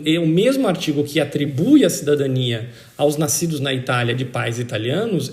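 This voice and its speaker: A man's voice: a little high, vocal fry, darkish tone. A man with a Brazilian accent explaining law as an interviewed expert, in an emphatic, didactic way that repeats key points for stress. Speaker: citizenship expert being interviewed. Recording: roomy, echoing sound.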